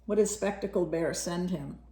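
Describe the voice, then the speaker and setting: A woman reading a picture book aloud as a storyteller, animated and pausing to explain things and put questions to young listeners. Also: fairly low pitch. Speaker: storyteller, teacher reading to children.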